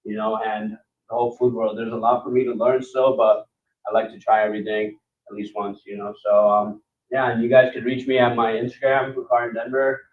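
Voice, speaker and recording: Soft-spoken man, distant and echoey. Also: on the low side, little pitch movement, a little fast, slight background noise. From Nepal. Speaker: chef. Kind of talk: self-introduction at an event. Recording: distant, echoey, phone-like audio.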